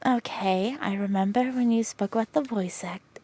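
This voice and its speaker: A woman playing a protective young female friend in an audio roleplay, scolding in exasperation and then whispering soft reassurance. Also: medium pitch, moderate pace, lightly acted. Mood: anxious, pleading. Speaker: comforting friend.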